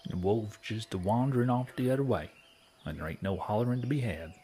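Low-voiced folksy western frontier guy, played by a man, drawly and earnest, crickets and nature noise behind. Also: animated, fairly quick, warm tone, close to an everyday voice. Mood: hushed, explaining. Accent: rural American Western. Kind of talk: western audio drama comedy acting.

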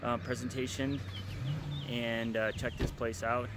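A middle-aged man talks easily to a handheld phone as he drives. The sound is close but carries steady car and road noise throughout, with outdoor stops in open air. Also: medium pitch, a little slow. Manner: relaxed, chatty narration to camera. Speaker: travel vlogger. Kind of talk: casual travel vlog. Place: inside a car while driving, also outdoors at stops. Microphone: phone camera at arm's length.

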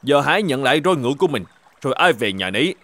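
A man's voice: mid-range voice, sing-song, quick pace, fairly bright tone, no room sound. A man voicing a stern, shrewd governor in a folk-tale cartoon, speaking in a commanding, formal and accusatory way. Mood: calm authority. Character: stern, shrewd male governor judging a murder case.

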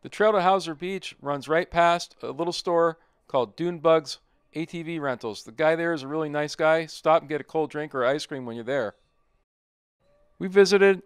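A man's voice: on the high side, normal speed, smooth, dry recording, clean studio sound. A middle-aged man narrating his off-road trip in a plain-spoken, easygoing voice-over. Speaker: overlanding vlogger.

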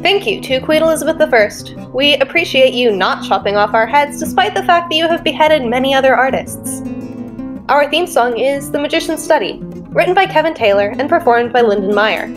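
A woman's voice: high-pitched, animated, a little fast, over music. A woman reading scripted podcast credits as a narrator.

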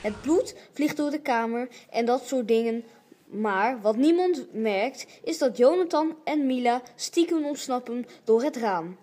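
Slightly high-voiced woman narrating. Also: animated, unhurried, warm tone. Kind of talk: storytelling narration for an amateur radio play.

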